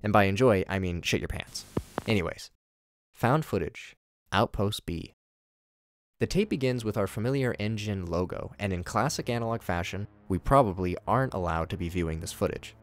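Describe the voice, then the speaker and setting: A man's voice: fairly low pitch, animated, moderate pace. A man giving a scripted narration that recaps horror footage in a casual, wry way. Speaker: narrator of a video commentary.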